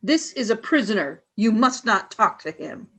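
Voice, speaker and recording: A woman's voice: a little high, very animated, normal speed, sharp tone, breathy edge, full character voice. An actress playing a cold, commanding female alien leader who gives stern, clipped orders in a radio play.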